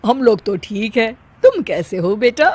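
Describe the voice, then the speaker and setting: A woman's voice: a little high, very animated, unhurried, darkish tone, husky, dry recording, full character voice. A woman voicing a scheming, grumbling middle-aged mother-in-law in a story, with sarcastic, muttering asides. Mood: falsely sweet.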